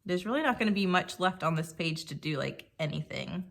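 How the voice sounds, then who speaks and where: A woman with a slightly croaky voice, chatting casually and thinking aloud while she works on a scrapbook page. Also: on the low side, expressive, fairly quick. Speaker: scrapbooking creator. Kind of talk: casual crafting commentary.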